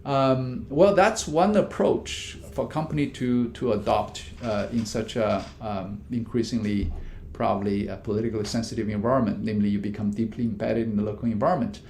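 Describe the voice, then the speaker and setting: A man, a business professor, giving measured answers in an interview with frequent fillers and restarts. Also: gravelly, darkish tone. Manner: many fillers and restarts, non-native grammar; measured, explanatory. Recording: echoey room with faint rumble.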